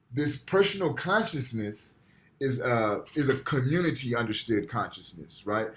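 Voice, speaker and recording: A man giving a university lecture over a video call, explaining ideas step by step and checking in with "right?" after each point. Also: mid-range voice. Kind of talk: online lecture. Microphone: computer mic on video call.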